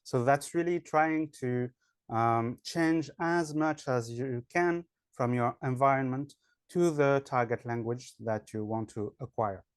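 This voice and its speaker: A man's voice: mid-range voice, unhurried, clear, resonant tone, no room sound, clean studio sound. A man with a French accent, an online English teacher, answering interview questions in a hesitant way with many fillers. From France.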